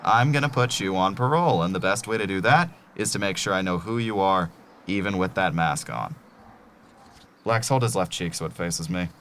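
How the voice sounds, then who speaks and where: A man's voice: low-pitched, expressive, moderate pace, fairly bright tone, slight background noise. A man playing a menacing gang leader in an audio drama, taunting with falsely courteous, folksy threats.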